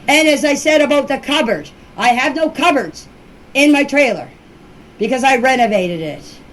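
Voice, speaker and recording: A woman's voice: sits high, expressive, moderate pace. A woman giving a defensive, insistent personal account in answer to questions, speaking emphatically in an informal voice message. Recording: noisy amateur voice-clip recording.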